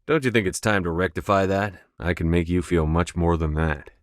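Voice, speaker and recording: An actor playing a resolute sci-fi military commander in a video game, with calm authority and measured, firm, clipped delivery. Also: male voice, deep voice, dry recording, studio quality, close to an everyday voice. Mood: earnest, intimate. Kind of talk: scripted video game dialogue.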